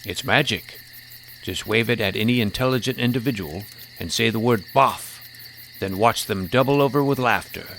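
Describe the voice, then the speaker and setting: A man's voice: mid-range voice, normal speed, gravelly, fairly bright tone, slight background noise, rough recording. A man playing a sly, greedy trickster jester in a fantasy audio story, with a showy, teasing way of savouring his words. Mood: grand, bargaining.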